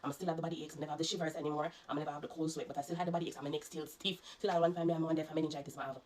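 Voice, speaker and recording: A woman's voice: sits low, steady tone, rapid-fire, darkish tone. A Jamaican woman vlogger, congested and coughing, earnestly recounting her illness to her viewers and warning them. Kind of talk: personal storytelling to viewers.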